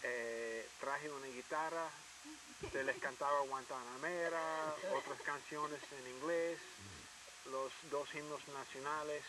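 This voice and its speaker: A man's voice: fairly high pitch, fairly even intonation, slow. A man from Miami with an American English accent, a native English speaker answering in hesitant Spanish as an interview guest. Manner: hesitant, filler-heavy. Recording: hissy TV broadcast audio.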